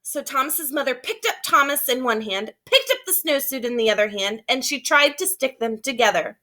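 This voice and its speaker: A woman with a high, bright voice reads a picture book aloud like a schoolteacher. She is expressive and emphatic, stopping to explain things to children. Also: normal speed. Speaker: teacher reading aloud to children. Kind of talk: animated children's storybook read-aloud.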